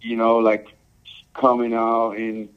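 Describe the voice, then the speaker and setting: Laid-back male musician from Samoa and Hawaii, mid-range voice, halting answers, dull lo-fi sound. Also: medium pitch, monotone, smooth. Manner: laid-back, halting, full of "um" and "you know". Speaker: reggae musician guest. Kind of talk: casual interview answers.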